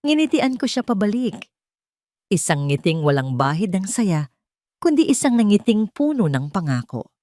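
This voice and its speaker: A woman with a Filipino accent narrating a dramatic first-person story in an expressive, scripted storytelling manner. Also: medium pitch, normal speed, dry recording.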